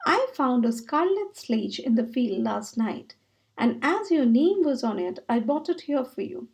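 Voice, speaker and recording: Woman as a courteous storybook rat, high-pitched. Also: sing-song, measured pace, vocal fry, a little mellow, exaggerated voice. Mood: polite, modest. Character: courteous, modest male rat offering a gift.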